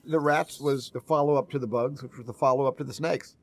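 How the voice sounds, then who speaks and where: A man who made films swaps stories with a wry, self-mocking edge. His voice is middle-pitched and easy. A light bed of room noise sits under him. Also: fairly even intonation, fairly quick. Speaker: filmmaker. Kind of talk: interview anecdote.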